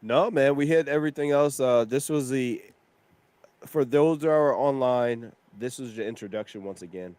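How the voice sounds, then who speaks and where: A man hosting a casual online chat, enthusiastic and quick to laugh. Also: mid-range voice, a little mellow, clean tone, dry recording. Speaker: show host.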